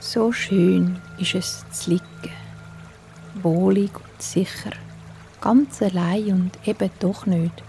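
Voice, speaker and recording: A woman with a Swiss German accent, telling a bedtime story in a soft, hushed, soothing way. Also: medium pitch, fairly even intonation, takes it slowly, soft background music.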